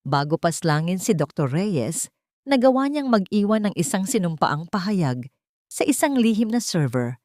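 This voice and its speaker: A woman voicing a calm, incisive male lawyer who addresses a court formally and firmly in a story. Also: on the low side, no room sound, clean studio sound.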